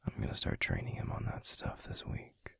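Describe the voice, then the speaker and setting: A man playing a gentle, protective werewolf boyfriend in an intimate audio roleplay, murmuring softly and soothingly with a breathy, gravelly voice. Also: no room sound, whispered, lightly acted. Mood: subdued, matter-of-fact. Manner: slow, soothing, unhurried. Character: gentle, protective adult male werewolf boyfriend, pack leader.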